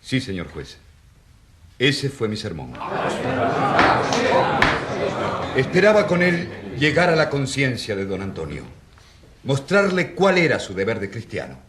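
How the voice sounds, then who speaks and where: An actor playing an earnest, defiant rural priest on trial in a radio drama, speaking solemnly. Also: male voice, mid-range voice, huge pitch swings, normal speed, noisy background. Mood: earnest recollection.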